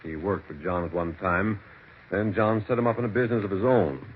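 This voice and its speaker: An actor playing a discreet family attorney in a 1940s radio mystery, deliberate and matter-of-fact. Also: male voice, low-pitched, lightly acted. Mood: somber, recounting. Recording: tinny, faintly noisy 1940s radio recording.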